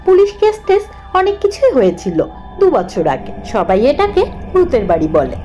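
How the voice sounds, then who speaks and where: A woman's voice: extremely high pitch, huge pitch swings, moderate pace, dark tone, highs cut off. A woman reading a scripted first-person ghost story as its narrator. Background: background music.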